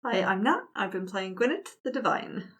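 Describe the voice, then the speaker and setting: A woman's voice: medium pitch, sing-song, moderate pace, a bit bright. A woman, bantering playfully as a player on a role-playing podcast. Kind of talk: casual banter.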